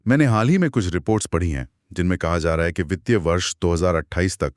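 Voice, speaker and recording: A man's voice: low-pitched, fast talker, dry recording, clean studio sound. A man with an Indian accent co-hosting a conversational podcast discussion, inquisitive and emphatic as he questions and sums up. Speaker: co-host asking questions.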